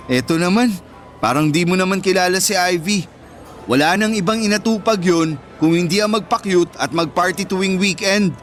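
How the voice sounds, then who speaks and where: A protective high school boyfriend in a Filipino radio drama. The voice is youthful and quite high, earnest and firm, with a light music bed underneath. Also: male voice, moderate pace, fairly bright tone. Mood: tender, teasing.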